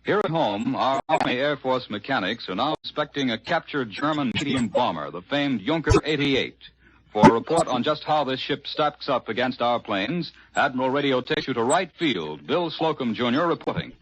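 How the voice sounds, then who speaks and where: An American man anchors a wartime radio newscast, reading formally from a script. His voice is of middle pitch. The old broadcast sounds muffled. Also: lively intonation, normal speed, slightly rough. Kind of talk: scripted 1940s news announcing.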